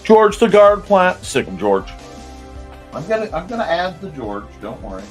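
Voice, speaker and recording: A man with a slightly croaky, strained voice chatting casually and goofily, half to himself, as he hosts a drawing stream. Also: sits high, sing-song, moderate pace, faint music behind. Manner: goofy, rambling, half talking to himself. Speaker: cartoonist hosting a live art show. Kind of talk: casual chat while drawing.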